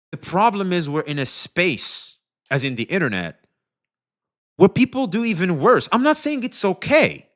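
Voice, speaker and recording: A male streamer giving animated, profane commentary, speaking straight to his chat. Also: sits high.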